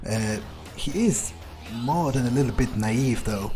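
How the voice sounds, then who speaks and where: Casting: male gamer, medium-pitched, improvising in character with halting delivery over faint game music. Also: lively intonation, dark tone. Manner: hesitant, halting, profane. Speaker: gamer role-playing a vampire character. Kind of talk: in-character game playthrough, thinking aloud.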